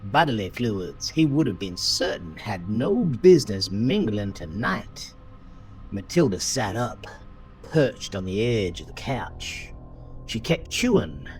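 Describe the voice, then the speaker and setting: A man's voice: fairly low pitch, poor audio. A man narrating a horror story in a theatrical, suspenseful manner. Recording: music under the voice throughout. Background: prominent music bed.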